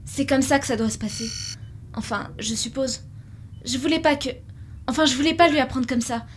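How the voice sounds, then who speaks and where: Young girl traveller , female actor: earnest, hesitant, higher register, sci-fi audio drama with clear background noise. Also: steady tone, moderate pace, natural voice. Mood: earnest, confiding. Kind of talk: science-fiction audio drama.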